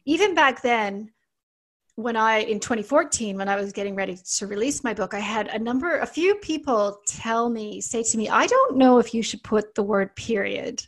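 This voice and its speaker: A woman's voice: fairly high pitch, lively intonation, moderate pace. A middle-aged woman with a Canadian accent, a naturopathic doctor answering as a podcast guest in chatty, explanatory talk that often restarts. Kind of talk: casual interview talk.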